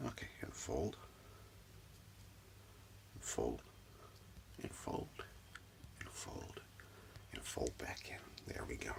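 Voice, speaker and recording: A man doing soft-spoken ASMR as an energy healer, murmuring soothingly and repetitively in a breathy, gravelly voice, close up in a small room at home. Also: extremely slow, long pauses. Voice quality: whispery, breathy, low and gravelly. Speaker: ASMR performer giving a reiki session.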